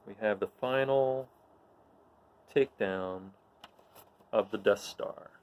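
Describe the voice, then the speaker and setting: A man hosting a read-aloud, talking casually and haltingly between readings in a self-deprecating way. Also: fairly low pitch, muffled recording. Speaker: host reading aloud to viewers. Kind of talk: casual introductions to dramatic readings.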